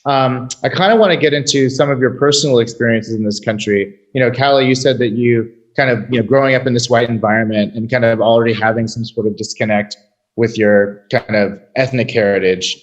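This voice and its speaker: A man's voice: medium pitch, fairly even intonation, brisk, low-quality recording. A man hosting a casual conversation, asking guests questions with many fillers and hesitations. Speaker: host. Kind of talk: interviewing guests.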